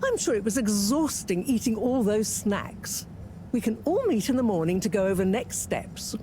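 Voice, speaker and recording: An actress playing a woman who calmly takes charge of a rescue team in a video game, lightly teasing as she does. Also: medium pitch, normal speed, a little hiss or hum, lightly acted, low-quality recording. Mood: wry, reassuring. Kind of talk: video game cut-scene dialogue.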